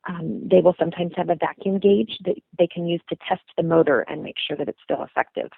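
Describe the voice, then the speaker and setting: A woman with a gravelly voice gives calm, step-by-step advice. Her voice comes through a thin, phone-like recording that is dry and close. She says um often. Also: lowish voice, brisk, no room sound. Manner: calm and instructive, frequent ums. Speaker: lactation consultant. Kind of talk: advice answer. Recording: phone-like, narrow band.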